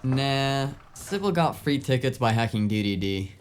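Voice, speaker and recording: A man's voice: mid-range voice, lively intonation, measured pace, a little hiss or hum, close to an everyday voice. A man acting as a cocky male cyberpunk hacker with a mid-range voice. He is flippant and slangy, a streetwise sidekick in an audio drama, heard over light background ambience. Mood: breezy.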